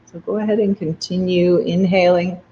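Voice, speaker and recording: A meditation guide leading a guided breathing meditation in a soft, gentle, unhurried way. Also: medium pitch, level tone, slight background noise. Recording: dull lo-fi recording with faint ambience.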